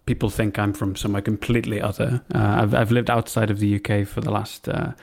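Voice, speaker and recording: A man's voice: fairly low pitch, level tone, quick pace, gravelly, darkish tone. A man from the north of England, whose accent has faded into a mixed British one, speaking as a podcast guest in a polite, wry and articulate way. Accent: mixed British, faded northern English. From Newcastle, north of England. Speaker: game developer guest. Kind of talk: podcast interview.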